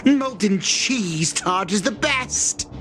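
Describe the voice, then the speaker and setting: A woman's voice: mid-range voice, animated, slow. A woman playing a devout, reverent disciple in a game, proclaiming a creed. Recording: music playing behind the voice.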